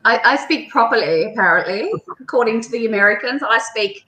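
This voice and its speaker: A woman's voice: on the high side, lively intonation, brisk, sharp tone, rough recording. An Australian woman on a group video chat, talking in a loose, rambling way with many fillers. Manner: rambling, full of fillers and restarts. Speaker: homesteader guest.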